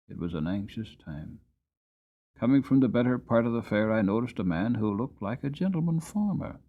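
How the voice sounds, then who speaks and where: A man giving a dramatic, measured and expressive reading of a storybook as its narrator. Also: fairly low pitch, narrow, tinny audio. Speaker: audiobook narrator.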